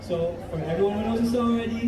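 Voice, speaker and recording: A man's voice: very high voice, fast talker, heavy background noise. A Filipino man performer introducing a song to a concert audience from the stage. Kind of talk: stage patter. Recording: echoey distant stage PA, loud music, crowd.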